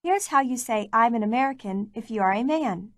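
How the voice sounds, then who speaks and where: A woman with a slightly raised pitch reads course prompts in a clear, measured manner. The sound is close and dry, with no room in it. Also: expressive, dry recording. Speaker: language course narrator. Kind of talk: scripted instructional narration.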